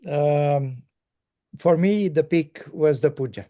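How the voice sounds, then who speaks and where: A man hosting a casual conversation, talking haltingly with many pauses and fillers. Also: a little high, mellow. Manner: halting, pausing often with fillers.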